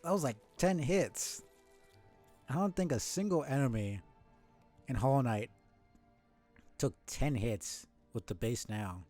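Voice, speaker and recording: A man's voice: mid-range voice, a little slow, pauses often, warm tone, soft background music. A male streamer giving casual live commentary while playing a game, muttering and swearing as he goes.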